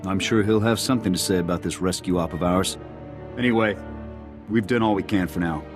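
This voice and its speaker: A low, gruff male officer, played by an actor in a video game. He is blunt and loyal, speaking in clipped military phrases. Loud music runs underneath. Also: brisk, natural voice. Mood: businesslike.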